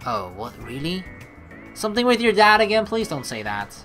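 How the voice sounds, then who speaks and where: A woman doing chatty, excitable game commentary, mixing story text read aloud with playful asides. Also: lowish voice, normal speed, music in the background. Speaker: let's play host.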